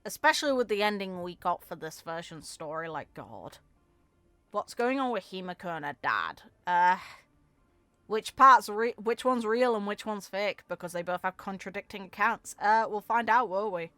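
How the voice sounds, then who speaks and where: A woman's voice: medium pitch, animated, normal speed, pushed and bright, no room sound. A woman giving casual reaction commentary on a game, musing aloud with short emphatic asides. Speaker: content creator reacting to game voicelines.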